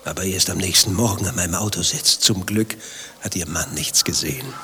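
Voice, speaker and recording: An actor playing a vain, evasive lover in a radio play, speaking with a defensive, indignant manner. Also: male voice, deep voice, bright, forceful tone, a little hiss or hum. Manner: defensive, indignant, quick to retort. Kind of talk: German radio play dialogue.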